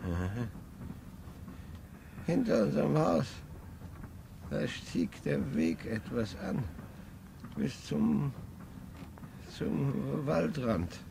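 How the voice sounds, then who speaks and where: A man's voice: mid-range voice, big pitch swings, very slow, gravelly, long pauses, warm tone, slight background noise, exaggerated voice, low-quality recording. An actor playing a very old grandfather who fondly remembers a lost world, in an early 1950s radio play. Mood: wistful. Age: older. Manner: wistful, earnest storytelling. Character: very old grandfather, nostalgic, defiant dreamer. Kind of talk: 1950s radio drama acting.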